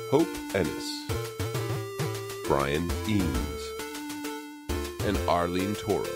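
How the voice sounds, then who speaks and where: A man reading scripted narration and credits as the narrator of an audio story. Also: lowish voice.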